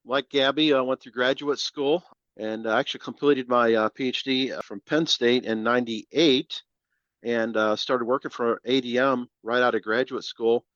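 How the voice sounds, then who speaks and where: This is a man in his fifties from Ohio, talking as a guest in a relaxed, plain-spoken way. His voice comes through a dull, lo-fi recording with a very dry sound. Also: medium pitch, moderate pace, no echo. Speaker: guest, nutritionist. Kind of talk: interview answers.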